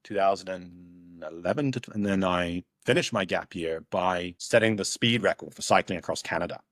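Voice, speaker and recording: A young man from Hong Kong with a low, deep voice. He tells stories as a podcast guest, reflective and rambling, and often circles back to restart his sentences. The sound is close and dry. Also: normal speed, fairly bright tone, no room sound. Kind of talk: casual storytelling interview.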